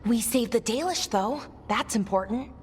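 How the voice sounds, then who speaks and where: A woman's voice: on the high side, normal speed, a little mellow, close to an everyday voice. A woman playing a hopeful, earnest companion in a fantasy video game. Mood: hopeful, unsure. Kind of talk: video game dialogue acting. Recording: echoey hall with faint noise.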